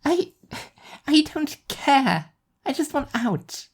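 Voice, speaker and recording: A woman's voice: high-pitched, very animated, takes it slowly, pitched above the performer's own voice, exaggerated voice. A woman voicing an angry, rebellious teenage girl, blunt and exasperated, in a story reading. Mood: exasperated, defiant.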